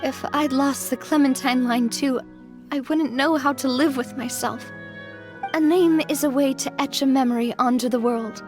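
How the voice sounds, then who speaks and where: An actress playing a kind, resolute young woman who leads an organization, earnest and measured, in a dramatic video game. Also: high-pitched, steady tone, darkish tone, faint music behind, close to an everyday voice. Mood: wistful.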